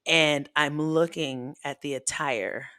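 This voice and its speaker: Animated man, dry close sound. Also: on the high side, slow pace, clear, resonant tone, dry recording. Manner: animated and expressive, with frequent restarts; frank and self-teasing. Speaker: guest podcaster. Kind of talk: casual chat.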